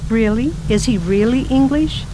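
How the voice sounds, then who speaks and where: A woman's voice: fairly high pitch, normal speed, close to an everyday voice. A woman playing a proper, polite American lady of fifty on her first adventure abroad, in a radio drama. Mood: prim. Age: 50s. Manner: polite, prim, curious. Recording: noisy hissy recording.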